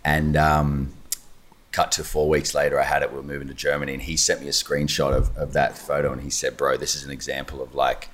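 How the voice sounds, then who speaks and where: A man with a very low voice tells a story in an eager, casual way. He is an actor from Australia, and he rattles off film credits in quick lists. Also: monotone, fairly bright tone, a little hiss or hum. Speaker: actor guest. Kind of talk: casual chat.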